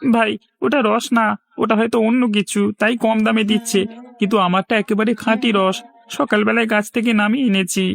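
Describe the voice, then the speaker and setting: Man voicing an earnest, gravelly cartoon vendor. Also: high voice, steady tone, brisk, fairly bright tone. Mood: earnest, persuasive. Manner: polite, persuasive. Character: earnest, honest male date-palm sap seller. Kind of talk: cartoon voice acting.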